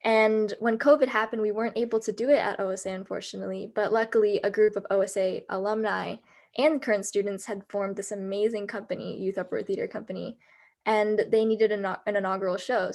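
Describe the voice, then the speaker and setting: A woman's voice: mid-range voice, a little mellow. A woman in her late teens with an American accent, a student playwright talking casually and enthusiastically about her script. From United States. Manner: enthusiastic, explanatory, with fillers like "like". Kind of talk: casual discussion on a video call.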